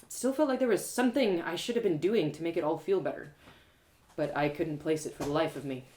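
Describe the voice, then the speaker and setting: A woman storyteller reading first-person fiction aloud in an expressive, theatrical way. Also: low-pitched, a little fast. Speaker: author and storyteller.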